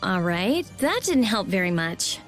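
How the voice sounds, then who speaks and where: A woman's voice: mid-range voice, very animated, measured pace, faint music behind. A woman voicing a blunt, feisty teenage girl in an anime-style video game. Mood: dismissive.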